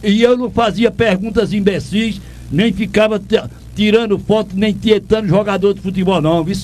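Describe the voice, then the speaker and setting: Indignant older man from Pernambuco, high voice. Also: steady tone, moderate pace, darkish tone. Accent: Northeastern Brazilian, Pernambuco. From Pernambuco, Brazil. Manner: indignant, emphatic, repeats himself. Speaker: radio show host, former sports reporter. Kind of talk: ranting commentary.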